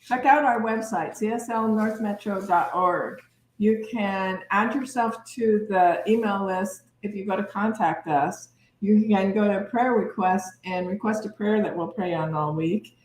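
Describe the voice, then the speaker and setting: A woman's voice: mid-range voice, unhurried. A woman giving informal announcements as host of a livestream. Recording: roomy, echoey livestream with faint noise.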